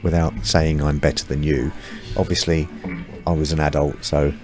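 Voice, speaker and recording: A middle-aged man co-hosting a podcast, chatty, jumping in with quick questions. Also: a bass voice, flat delivery, a little mellow, faint music behind. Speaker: podcast co-host. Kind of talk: casual chat.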